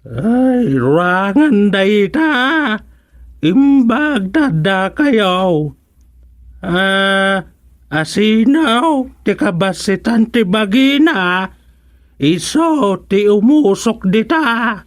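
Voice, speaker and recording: An actor playing a grave village elder in an Ilocano radio comedy drama, with an Ilocano accent and deliberate, drawn-out phrasing. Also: mid-range voice, animated. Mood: explaining.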